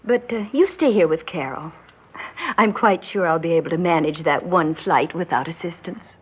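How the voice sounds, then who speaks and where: Anxious forty-year-old housewife, old radio sound. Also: female voice, fairly low pitch, moderate pace, slightly rough. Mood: uneasy, wistful. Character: anxious, vain housewife and mother around forty. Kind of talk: mid-century radio drama acting. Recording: muffled, noisy old radio recording.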